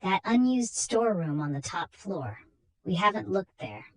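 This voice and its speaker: Woman as a husky, commanding vampire-hunting doctor. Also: mid-range voice, sing-song, moderate pace, poor audio. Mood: knowing resolve. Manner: emphatic, declamatory. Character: commanding male doctor, vampire hunter, urgent and knowing.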